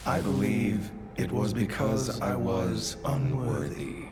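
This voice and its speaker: An actor playing a serene, ancient religious leader in a video game, speaking formally and graciously in measured English. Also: male voice, lowish voice, animated, loud music behind the voice. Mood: solemn. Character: serene, courteous male religious leader, ancient but youthful-looking. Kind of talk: video game cutscene acting. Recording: long hall reverb.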